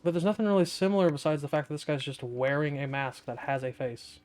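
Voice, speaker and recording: A man's voice: on the high side, fairly even intonation, fast, a little mellow. A man giving casual gameplay commentary, rambling and often interrupting himself as he thinks aloud. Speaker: gaming video creator.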